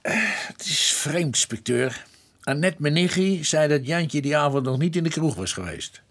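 A man's voice: a little high, lively intonation, moderate pace, a bit bright. An actor playing a sceptical, informal police detective in a Dutch radio play, questioning what he hears.

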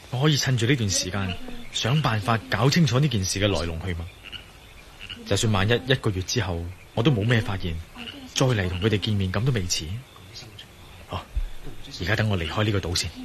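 A man's voice: fairly low pitch, lightly acted. A man acting a cool, wry adventurer hero in a Cantonese radio drama, with a Hong Kong accent. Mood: pensive, inward.

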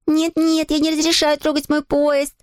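Storybook role: woman as a stubborn small girl, high-pitched, indignant, shouting. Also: monotone, moderate pace, no room sound, studio quality, lightly acted. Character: shrill, stubborn, furious little girl.

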